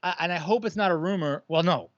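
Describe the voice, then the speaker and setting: A man co-hosting a live stream, chatting in a relaxed, agreeable way. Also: high-pitched, fast talker, dry recording, lo-fi sound. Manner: laid-back, agreeable, short replies. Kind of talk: casual chat.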